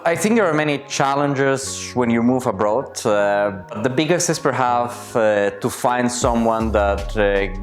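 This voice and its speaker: Thoughtful man, faint music behind. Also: medium pitch. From Colombia. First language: Spanish. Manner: thoughtful, searching for words. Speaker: interviewee. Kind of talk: personal interview answers.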